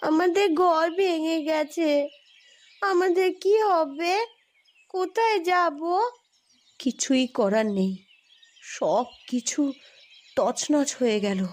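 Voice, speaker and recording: A woman's voice: high-pitched, takes it slowly, long pauses. A woman voicing a frightened village character in a cartoon, with a plaintive, dramatic delivery. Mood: despairing. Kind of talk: scripted cartoon dialogue.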